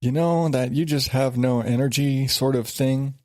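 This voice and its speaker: A man's voice: mid-range voice, normal speed, dark tone, dry recording, studio quality. A man hosting his own podcast, stuffed up from a sinus infection and talking in an exasperated, rambling way with many restarts. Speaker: podcast host. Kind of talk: casual solo podcast.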